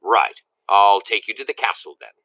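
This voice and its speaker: A woman reading aloud and voicing a blunt male soldier who shouts his lines. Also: rumbling low, lively intonation, normal speed, dry recording, pitched below the performer's own voice, full character voice. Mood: gruff. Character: gruff male soldier driving a wagon.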